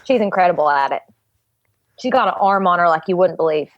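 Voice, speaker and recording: A woman's voice: lowish voice, animated, fairly quick, no echo, lo-fi sound. A woman in casual comedic panel chat, gushing and emphatic as a talent agent.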